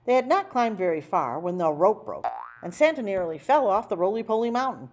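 A woman reading a children's picture book aloud in an animated storytelling style. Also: medium pitch, fairly quick. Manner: animated, acting out dialogue. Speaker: storybook reader.

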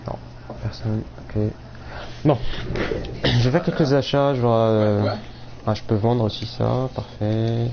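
A man's voice: mid-range voice, steady tone, slow pace, long pauses. A young man with a cold, casually commenting on his game as he plays, rambling with many fillers. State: has a cold, voice a bit off. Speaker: gaming streamer. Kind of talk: casual let's play commentary. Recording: muffled mic with clear background noise.

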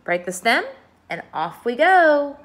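A woman reading aloud as a kind, gentle female ladybug in a storybook. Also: fairly high pitch, sing-song, takes it slowly, voice pushed up, full character voice.

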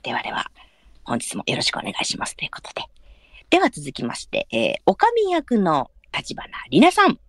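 A woman's voice: on the low side, huge pitch swings, fairly quick, no echo, whispered, studio quality. A woman hosting a live audio drama stream in polite, chatty announcer phrasing, with frequent short affirmations.

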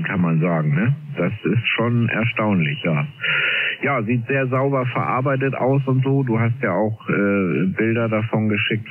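A man chatting casually as an amateur radio operator over a shortwave transmission. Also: medium pitch. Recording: narrow phone-like shortwave radio, faint noise.

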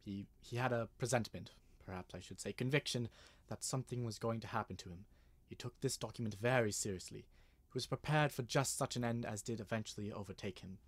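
A man playing an earnest, nervous gentleman with a formal manner in an old-style radio mystery. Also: on the low side, a little fast, husky. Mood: uneasy, earnest. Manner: hesitant, formal. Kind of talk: 1930s radio mystery acting.

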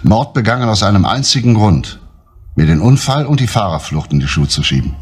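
A stern middle-aged police inspector speaks in a deep, husky voice. He stays calm and deliberate under pressure, in the manner of a 1970s crime show. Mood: grave. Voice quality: low, husky, measured. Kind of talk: 1970s TV crime drama dialogue.

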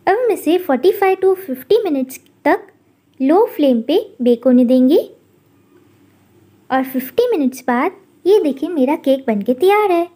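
High-pitched woman narrating a recipe. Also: normal speed. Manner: calm instructional narration. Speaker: cooking channel host. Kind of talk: step-by-step recipe voice-over.

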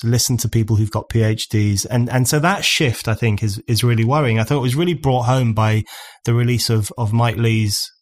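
A man in his forties, hosting a podcast in a studio, building long, earnest arguments at a condenser mic. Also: on the low side. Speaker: podcast host. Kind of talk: conversational podcast discussion. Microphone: studio condenser mic on stand.

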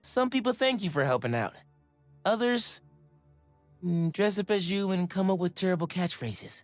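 An actor playing a sincere, enthusiastic teenage superhero in a video game, speaking casually. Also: male voice, high-pitched, expressive, normal speed, no room sound, faint music behind. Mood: casual, amused. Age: young adult. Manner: casual, earnest.

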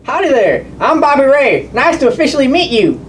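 A woman's voice: high-pitched, very animated, brisk, recorded from a distance, a little hiss or hum, poor audio. A woman voicing a friendly, helpful male country mechanic puppet, folksy and easygoing. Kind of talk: children's puppet show acting.

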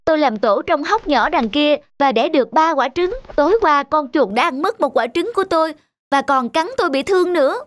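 High-voiced anxious little mother bird. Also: expressive, brisk, no room sound. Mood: pleading. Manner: pleading, earnest. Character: small, anxious, earnest mother songbird. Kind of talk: cartoon animal fable acting.

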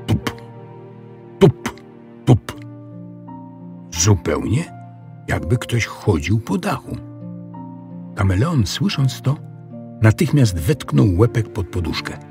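A man narrating a children's audiobook in an expressive storytelling style. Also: medium pitch.

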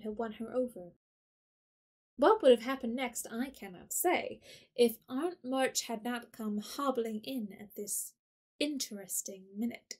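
A woman's voice: on the high side, a little mellow. A woman narrating a classic story in an audio drama. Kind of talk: scripted narration.

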